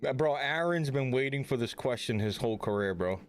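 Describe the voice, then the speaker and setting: A young man hosting a casual podcast, relaxed and slangy, hyping up his friends. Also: mid-range voice, fairly quick, clean tone. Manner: laid-back, slangy, hypes people up. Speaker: podcast host. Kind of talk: casual chat.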